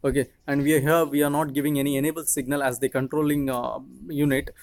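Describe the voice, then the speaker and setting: A man in his twenties, a native Tamil speaker with an Indian accent, giving an explanatory lecture in a halting, repetitive way. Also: on the high side, flat delivery. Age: 20s. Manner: halting, repetitive, frequently checking with "okay". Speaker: teacher, video channel host.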